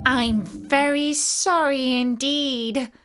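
A woman's voice: very high-pitched, huge pitch swings, very slow, noisy background, pitched above the performer's own voice, exaggerated voice. A woman voicing an innocent, plucky little girl in a storybook, earnest and exclaiming. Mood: frightened, apologetic.

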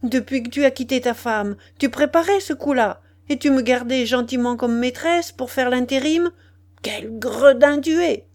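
A betrayed mistress in a storybook drama, played by a woman reader. Her voice is high and full of indignant fury. She hurls accusations with scorn and vehemence. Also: normal speed, a little mellow, close to an everyday voice. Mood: bitter, reproachful. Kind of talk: dramatised audiobook dialogue.

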